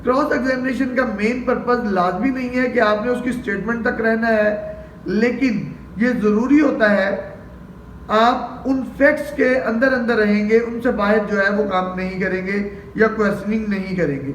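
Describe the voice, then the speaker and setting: A Pakistani man with a notably high voice delivers an explanatory lecture. He is didactic, setting up questions and answering them himself. The sound is echoey and picked up from a distance, with faint noise. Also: normal speed. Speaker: law lecturer.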